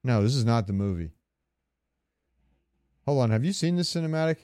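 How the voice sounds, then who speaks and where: Male podcast host, smooth clear voice, mid pitch, dry close sound, casual fan commentary. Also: animated, fairly quick, mellow, dry recording.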